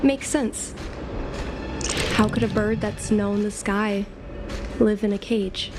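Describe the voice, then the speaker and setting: A woman's voice: mid-range voice, steady tone, slow, background noise, lightly acted. A woman voicing an easygoing, wistful young woman in casual, conversational video game dialogue. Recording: music playing behind the voice.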